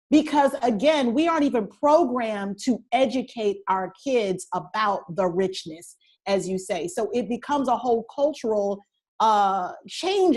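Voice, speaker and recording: A woman's voice: fairly high pitch, darkish tone. A woman co-hosting a candid conversation, building her point step by step. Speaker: co-host.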